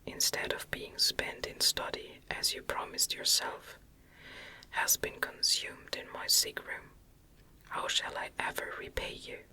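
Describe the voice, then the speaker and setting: A woman reads a gothic novel in a soft, breathy ASMR whisper, with an unhurried, calming delivery. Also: rough recording. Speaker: audiobook reader.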